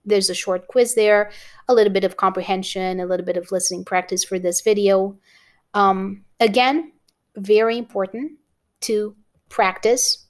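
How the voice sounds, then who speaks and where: A woman's voice: medium pitch, steady tone, moderate pace. Woman, Romanian accent, English teacher, encouraging, exam-prep lesson, checks in often.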